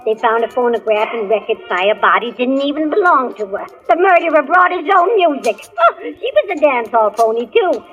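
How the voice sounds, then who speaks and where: Radio thriller matron: older woman, high-pitched, chatty, relishing the grisly, dull vintage recording. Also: big pitch swings, a little fast, hardly any pauses, a bit bright. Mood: ghoulish relish. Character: morbid older gossipy dance hall matron. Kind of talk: 1940s radio acting.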